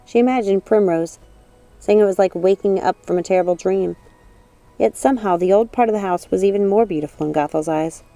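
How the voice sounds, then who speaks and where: A woman reading scripted storybook narration in a measured, expressive manner. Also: mid-range voice, no echo, faint music behind. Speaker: audiobook narrator.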